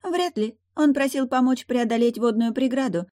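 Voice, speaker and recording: A high-pitched young mermaid from a fantasy audiobook, played by a woman narrator. She is brash and carefree, teasing with sly, haughty exclamations. The sound is dry and close. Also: moderate pace, warm tone, no echo, close to an everyday voice. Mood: breezy, careless.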